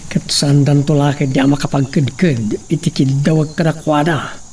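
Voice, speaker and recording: A man's voice: fairly high pitch, flat delivery, moderate pace, darkish tone, lightly acted. A man playing a secretive plotter in an audio drama, musing to himself in monologue. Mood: brooding. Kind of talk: Ilocano radio-style drama monologue. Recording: distant, faint insect noise.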